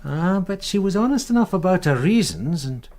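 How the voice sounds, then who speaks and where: Wry British detective sergeant, lively intonation. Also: male voice, sits high, normal speed. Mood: matter-of-fact. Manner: wry, matter-of-fact. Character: loyal detective sergeant, wry, plodding, susceptible to women. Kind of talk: British radio crime drama acting.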